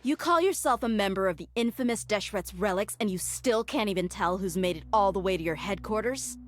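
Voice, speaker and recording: A woman's voice: mid-range voice, expressive, fairly quick, natural voice. An actress playing a bold young woman mercenary who talks in a taunting, commanding way. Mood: cocky. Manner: taunting, commanding battle cries. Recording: game audio with music behind.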